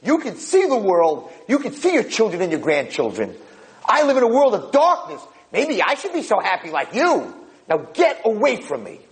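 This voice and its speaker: A man's voice: fairly low pitch, moderate pace, close to an everyday voice, rough recording. A man voicing a miserable, angry old blind man in a spoken story, given to screaming complaints. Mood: anguished, bitter.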